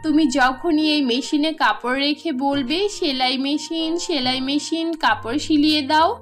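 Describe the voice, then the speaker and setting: A woman's voice: high voice, fairly even intonation, a little slow, soft background music. A woman voicing a small, grateful, kind butterfly fairy in an exclamatory children's cartoon style. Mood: warm, grateful.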